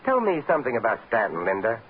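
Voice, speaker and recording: An actor playing a suave, flippant, flirtatious gentleman adventurer in 1940s radio drama style, with wry, unhurried wisecracks. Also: mid-range voice, huge pitch swings. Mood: flippant, relaxed. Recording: hissy, narrow old-time radio recording.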